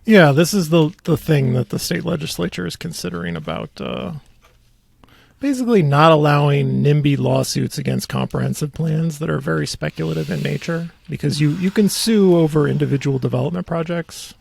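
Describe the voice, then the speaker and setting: A man hosting a podcast, chatting casually about local politics in a halting way, with thoughts that trail off. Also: on the high side, creaky, a little mellow, breathy edge, no room sound. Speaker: podcast host.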